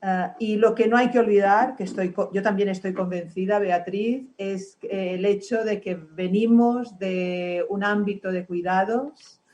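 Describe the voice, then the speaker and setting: Mujer convencida que habla entre titubeos. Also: female voice, mid-range voice, fairly even intonation, a little mellow. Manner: earnest, halting, many fillers. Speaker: panelist. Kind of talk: panel discussion.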